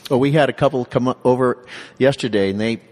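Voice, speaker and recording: A man's voice: mid-range voice, quick pace, a little mellow, big-room sound. A man from Southern Colorado talking casually and earnestly in an interview, often restarting words, about a community center where he works.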